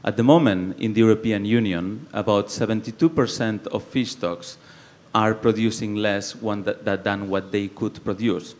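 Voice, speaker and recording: Catalan-accented man, low voice. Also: moderate pace, hardly any pauses, a little mellow. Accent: Catalan Spanish. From Spain, Barcelona. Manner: earnest, many fillers and restarts. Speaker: panelist, campaigner. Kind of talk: panel debate speech.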